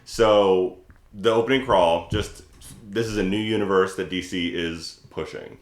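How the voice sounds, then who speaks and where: A man with a deep voice talks casually as a podcast co-host. He comes across as earnest and full of opinions. The room around his voice is noticeably echoey. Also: fairly even intonation, measured pace. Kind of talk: casual chat.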